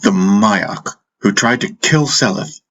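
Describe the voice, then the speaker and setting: A man's voice: lowish voice, slow, fairly bright tone, lo-fi sound, full character voice. A man voicing a stern, troubled warrior brother in a fantasy audiobook drama. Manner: stern, grave.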